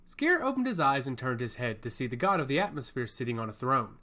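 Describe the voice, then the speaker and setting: A man's voice: medium pitch, very animated, fast talker, pushed and bright. A man reading scripted fantasy fiction aloud as a storyteller. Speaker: audiobook narrator.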